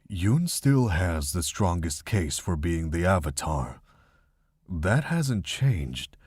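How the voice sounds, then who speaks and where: Casting: man to play wise, regretful middle-aged monk for a visual novel; very low, raspy register, gentle reflective storytelling. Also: dry recording, clean studio sound, exaggerated voice. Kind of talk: fan visual novel voice acting.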